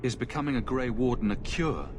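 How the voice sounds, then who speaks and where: An actor playing a resolute, protective adventurer in a video game, speaking bluntly and with determination. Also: male voice, mid-range voice, brisk, close to an everyday voice. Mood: urgent concern. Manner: direct, urgent, determined. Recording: noisy game ambience.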